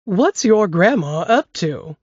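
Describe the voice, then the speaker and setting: A woman's voice: mid-range voice, sing-song, slow pace, husky, no room sound, heavily acted. A woman doing a sly folksy old lady storyteller. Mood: coaxing. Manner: folksy drawl, teasing and conspiratorial. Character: elderly woman storyteller, spry, sly and coaxing. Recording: dry, dull lo-fi podcast audio.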